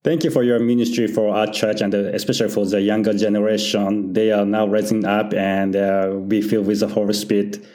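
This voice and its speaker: Low-voiced Japanese man, halting English. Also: flat delivery, mellow. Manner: halting non-native English with frequent "uh" pauses and laughs. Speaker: church founder and senior pastor. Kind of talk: interview answers.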